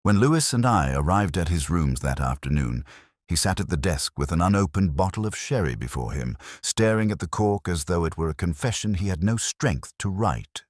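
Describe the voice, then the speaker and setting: A man narrating a scripted first-person detective story in a measured, brooding, literary manner. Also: sits low, expressive, no echo, studio quality.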